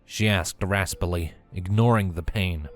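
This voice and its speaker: Deep-voiced man narrating a story. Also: measured pace. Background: faint music.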